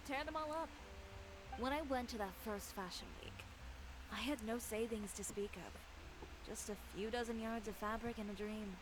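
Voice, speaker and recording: A woman's voice: a little high, normal speed, noisy background, close to an everyday voice. An actress voicing a poised, determined female fashion designer in a game, cool and dry.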